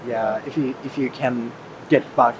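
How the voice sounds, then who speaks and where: A man conducting a casual interview in simple, halting English with frequent small acknowledgements. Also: medium pitch, steady tone. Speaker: street interviewer. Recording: clear ambient street noise.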